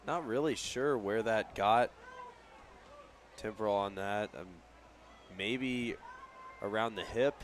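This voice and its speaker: A man's voice: on the low side, slow, long pauses. A man giving understated, conversational color commentary during a live baseball broadcast. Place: ballpark broadcast position.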